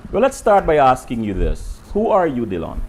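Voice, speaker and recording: An older man hosts the interview, his voice rising and falling with lively intonation as he stumbles and repeats words in his questions. Faint background noise sits under him. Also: mid-range voice, moderate pace. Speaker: interview host.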